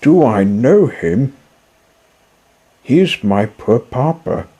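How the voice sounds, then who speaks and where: Brief: a tearful, desperate young puppet boy, put on by a male reader, slow and raspy-edged. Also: mid-range voice, very animated, warm tone, pitched above the performer's own voice, full character voice. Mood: eager, anxious. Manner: pleading, tearful. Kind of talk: storybook reading.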